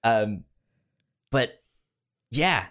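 A man co-hosting a podcast in casual conversation, speaking haltingly and often repeating or tripping over words. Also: medium pitch, animated, a bit bright. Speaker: podcast co-host. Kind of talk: casual chat.

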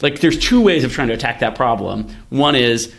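A man's voice: medium pitch, animated, normal speed, creaky, a bit bright. A man hosting a casual tech discussion, explaining ideas by thinking aloud and posing rhetorical questions. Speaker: podcast host, venture investor.

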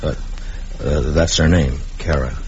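An actor playing a stoic, terse, world-weary frontier marshal in a radio western. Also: male voice, rumbling low, steady tone, unhurried, darkish tone. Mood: guarded, subdued. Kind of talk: 1950s radio western acting. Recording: dull, noisy vintage radio recording.

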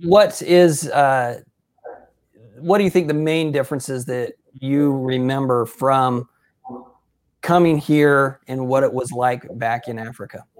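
A man's voice: medium pitch, steady tone, slow pace. A man hosting a casual sports interview, upbeat and effusive in his praise of his guest. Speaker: interview host.

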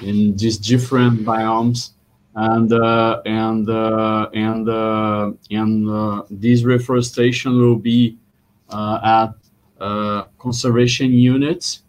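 A man from Brazil, a bank representative on a webinar panel, speaking haltingly with many fillers. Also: on the low side, little pitch movement. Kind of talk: online panel discussion.

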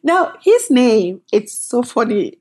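Animated storytelling woman from Cameroon. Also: on the high side, breathy edge. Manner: animated, storytelling, quick bursts, laughs often. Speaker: company founder, guest. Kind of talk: conversational interview.

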